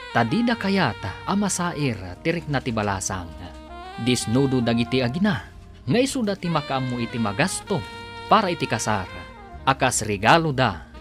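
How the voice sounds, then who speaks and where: Male Ilocano radio drama narrator, lively swooping delivery, mid-pitched, music underneath.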